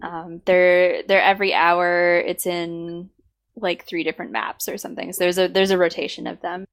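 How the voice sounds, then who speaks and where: A woman co-hosts a casual podcast with a worn, hoarse voice from a sore throat. She sits slightly low in pitch and talks in halting stretches full of fillers. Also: level tone. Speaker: podcast co-host.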